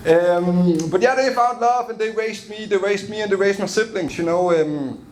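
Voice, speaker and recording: A man's voice: sits high, a little slow, darkish tone, poor audio. A young man from Denmark with a Danish accent, doing a loose, chatty stand-up set on a club stage and laughing at his own jokes. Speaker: stand-up comedian.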